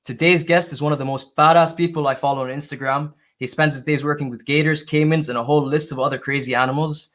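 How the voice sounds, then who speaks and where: A man's voice: a little high, fairly even intonation, fast, few pauses, fairly bright tone. A man hosting a casual interview, speaking informally and with enthusiasm. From Canada. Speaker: podcast host.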